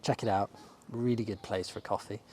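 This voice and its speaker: A man's voice: fairly low pitch, steady tone, normal speed, a little mellow, a little hiss or hum. Chatty man on a street walk. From England. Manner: chatty and enthusiastic, with frequent ums. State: slightly losing his voice. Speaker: bookshop owner and vlogger. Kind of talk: casual walking tour vlog. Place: outdoors on a sunny sloping shopping street. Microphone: handheld camera filming himself while walking. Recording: faint outdoor street ambience.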